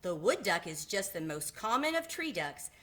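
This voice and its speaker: A woman's voice: fairly low pitch, sing-song, moderate pace, sharp tone. A woman reading a children's book aloud, as a teacher would to a class. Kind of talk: children's storybook read-aloud.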